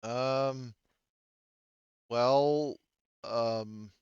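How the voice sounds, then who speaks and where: A man's voice: mid-range voice, fairly even intonation, very slow, darkish tone, clear, resonant tone, no echo. A man loosely narrating a tabletop roleplaying game in a hesitant, conversational way, on slightly muffled audio.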